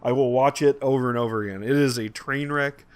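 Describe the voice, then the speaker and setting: A man's voice: mid-range voice, steady tone, fairly quick, clear, resonant tone. A man co-hosting a casual podcast, conversational and thinking aloud as he goes. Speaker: podcast co-host.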